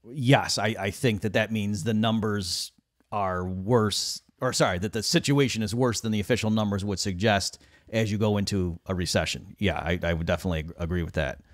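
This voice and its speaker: Animated middle-aged man on a video call. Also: fairly low pitch, fairly quick, dry recording, clean studio sound. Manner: animated, mocks quotes in a raised voice. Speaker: economist guest. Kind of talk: casual discussion on economics. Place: small room, indoors. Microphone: studio mic on a boom arm, video call.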